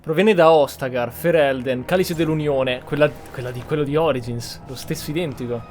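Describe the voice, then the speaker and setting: A man's voice: fairly high pitch, fast talker, darkish tone, slight background noise. A man with an Italian accent giving roleplay commentary as host of a gameplay video. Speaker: gaming channel host. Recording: game music clearly behind.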